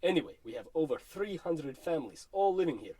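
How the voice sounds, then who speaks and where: A man's voice: a little high, sing-song, a little fast, dark tone, slightly rough, no room sound. An actor playing a solemn, polite old Russian grandfather with a Russian accent, telling his tale grandly in a radio comedy. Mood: grave, weary.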